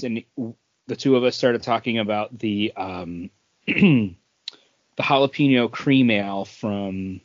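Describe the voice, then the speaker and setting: A man's voice: on the low side, dry recording, muffled recording. A man hosting a podcast, chatting casually in a rambling way about craft beer. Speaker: podcast host.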